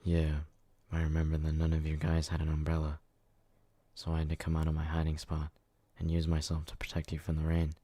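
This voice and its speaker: A man's voice: a bass voice, monotone, fairly quick, mellow, no echo. A man playing a devoted, brooding, hot-headed but tender yandere in an ASMR roleplay, speaking with earnest intensity. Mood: resentful.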